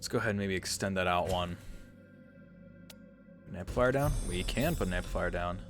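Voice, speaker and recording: Streamer, male, lowish voice, offhand running commentary, faint music underneath. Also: a little fast. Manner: thinks aloud, answers chat, self-mocking asides. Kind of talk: casual gameplay livestream commentary.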